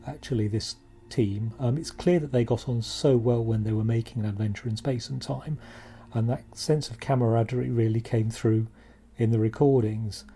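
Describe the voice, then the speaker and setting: A man's voice: mid-range voice, steady tone, moderate pace, dark tone. A British man, a producer, talking effusively and in a heartfelt way in an interview. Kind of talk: interview talk.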